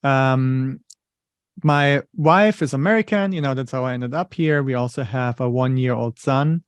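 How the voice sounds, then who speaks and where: A thoughtful man in his thirties speaks English with a German accent, walking through his ideas in a patient, explanatory way with a sprinkling of "you know." His voice sits at an ordinary pitch and is recorded dry, with no room echo. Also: a little slow, smooth. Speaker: interview guest, finance leader. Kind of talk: conversational interview.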